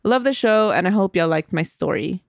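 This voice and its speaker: A woman's voice: medium pitch, expressive, normal speed, no echo. Giggly woman podcast co-host, dry mic. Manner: giggly, chatty, reads aloud with asides. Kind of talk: casual chat and reading listener stories.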